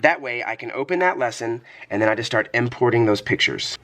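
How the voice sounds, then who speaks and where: A man with a slightly hoarse, under-the-weather voice, talking casually in a vlog as a teacher sharing tips in an easygoing, explanatory way. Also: mid-range voice, expressive, brisk, fairly bright tone. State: a little congested and hoarse from coughing. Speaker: teacher and vlogger.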